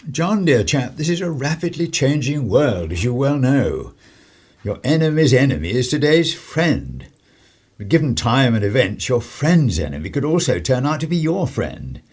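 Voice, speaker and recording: A suave 1930s gentleman acted by a man. His mid-pitched voice is a little husky and moves expressively as he persuades. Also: sing-song, moderate pace. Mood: persuasive.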